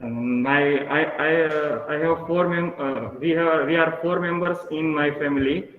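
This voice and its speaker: A twenty-year-old man with an Indian accent, a student introducing himself in a halting, hesitant way. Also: a little high, steady tone. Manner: halting, hesitant, restarts phrases. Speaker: engineering student. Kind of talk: spoken self-introduction. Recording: tinny phone-like audio, echoey room.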